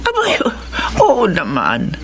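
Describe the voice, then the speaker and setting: Bright, high-pitched old Filipino grandmother. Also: wildly swooping pitch, slow pace, slight background noise, heavily acted. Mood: fond, proud. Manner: fond, folksy. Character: elderly village grandmother, loving, devoted, matter-of-fact. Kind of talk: Filipino radio drama acting.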